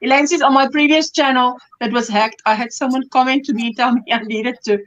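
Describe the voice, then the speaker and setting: A high-voiced woman from South Africa hosts the stream and speaks in a thoughtful, measured way while reading out comments. The audio is a little dull and lo-fi. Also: fairly even intonation, a bit bright. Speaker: livestream host. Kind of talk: casual chat, reading out viewer comments.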